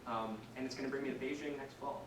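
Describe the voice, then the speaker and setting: A young man, a student panelist, introducing himself in a relaxed, joking way with fillers. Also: mid-range voice, quick pace. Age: young adult. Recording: echoey room, far from the mic, noisy.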